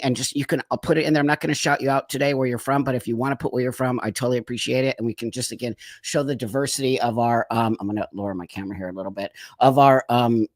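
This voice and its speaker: A man's voice: mid-range voice, dry recording. A man hosting a casual live stream, effusive and rambling, chatting over a video call. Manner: effusive, rambling, laughs often. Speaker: host.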